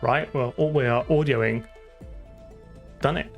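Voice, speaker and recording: Man with a gravelly edge, streaming casually. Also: mid-range voice, measured pace, lo-fi sound, faint music behind. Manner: informal, thinking aloud. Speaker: streamer and game programmer. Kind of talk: casual live coding stream chat.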